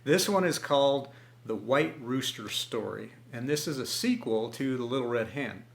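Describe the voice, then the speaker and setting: A man telling stories at home, talking in a folksy, encouraging way with many pauses. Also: medium pitch, lively intonation, creaky. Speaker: storyteller. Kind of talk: home storytelling video. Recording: echoey room.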